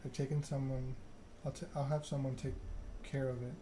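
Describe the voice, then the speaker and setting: Menacing male vampire enforcer read by a man: formal, cold, flat delivery, mid pitch, noisy recording. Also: natural voice. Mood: cold, measured. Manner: measured monotone recitation, veiled threats. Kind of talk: video game dialogue read aloud.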